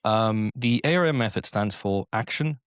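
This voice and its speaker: A young man from Slovenia, a native Slovenian speaker, talking animatedly as an English accent coach in an interview, slipping into quick acted-out quotes. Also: on the low side, smooth, dry recording, studio quality. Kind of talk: casual interview talk.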